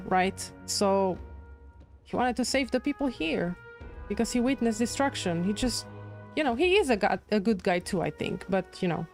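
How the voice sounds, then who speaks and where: A woman's voice: mid-range voice, darkish tone. A woman livestreaming a game, giving casual, chatty commentary as she thinks aloud. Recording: game music behind.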